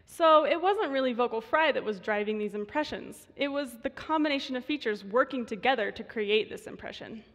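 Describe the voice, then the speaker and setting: Young woman with vocal fry in a hall. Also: a little high, animated, fairly quick, few pauses. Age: 20s. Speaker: student voice researcher giving a talk. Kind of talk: prepared short stage talk. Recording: reverberant hall.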